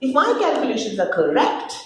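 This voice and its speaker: A woman's voice: fairly high pitch, wildly swooping pitch. A woman voicing a scheming, jealous serpent with a sneering, melodramatic manner in a dramatic stage play reading. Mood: impatient. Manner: sneering, melodramatic, repeats words, drawn-out phrases. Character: scheming, jealous, theatrical serpent, mocking fallen angel. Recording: roomy echo with clear background noise.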